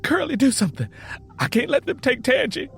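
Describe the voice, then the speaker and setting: A man's voice: very high voice, very animated, a little fast, vocal fry, fairly bright tone, soft background music, pitched above the performer's own voice, full character voice. A man voicing a brave, protective wife and mother with a Southern US accent, pleading emphatically in a quaking voice in an audio story. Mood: indignant, urgent.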